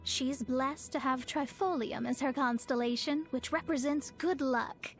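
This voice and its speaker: A woman's voice: high-pitched, steady tone, a little slow. A woman voicing a proud young female astrologer in a video game, speaking formally and pompously with theatrical delivery. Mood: grave, measured. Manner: formal, pompous, precise wording. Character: proud young woman astrologer, haughty, scholarly, easily flustered.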